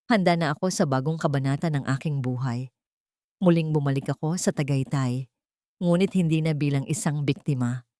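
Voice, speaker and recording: A woman's voice: deep voice, warm tone, no room sound, clean studio sound. A woman reading a first-person story as scripted audiobook narration, in an earnest, dramatic storytelling manner.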